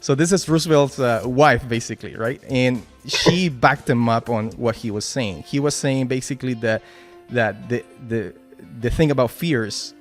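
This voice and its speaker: A man's voice: mid-range voice, normal speed, few pauses, faint music behind. A man with a Spanish accent giving a casual motivational talk at a team meeting, with frequent restarts and "right?" tags.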